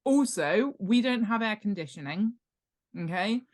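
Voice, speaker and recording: A woman's voice: mid-range voice, animated, a little slow, darkish tone. A British woman chatting as an expert guest in a casual interview, explaining things in an emphatic, self-deprecating way. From United Kingdom. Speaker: guest, author and world-building expert.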